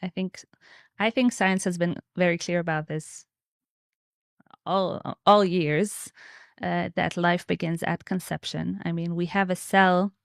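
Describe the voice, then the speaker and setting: A woman's voice: fairly low pitch, steady tone, unhurried, vocal fry, dry recording, studio quality. A woman in her thirties speaking conversationally as an interview guest, telling personal stories and explaining her views. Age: 30s.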